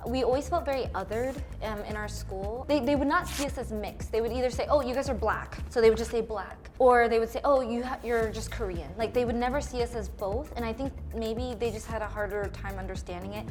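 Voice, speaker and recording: A woman's voice: a little high, a little fast, few pauses, darkish tone, faint music behind. A young American woman chatting casually and expressively about herself, with a bubbly, giggly manner. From United States, suburban Seattle area. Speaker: guest in a paired conversation video. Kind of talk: casual chat, personal storytelling.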